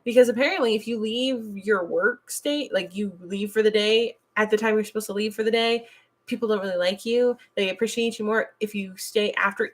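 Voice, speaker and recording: A young adult woman from the United States hosting a casual chat stream, blunt and animated, laughing often as she talks. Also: medium pitch. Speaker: stream host. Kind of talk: casual chat reading chat messages.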